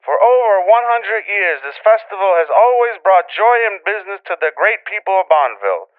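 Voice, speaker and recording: A man's voice: high-pitched, sing-song, a little fast, smooth. A man playing a proud, domineering small-town mayor in an audio drama, pompous and authoritative, heard through a telephone. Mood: insistent. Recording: thin telephone-line sound.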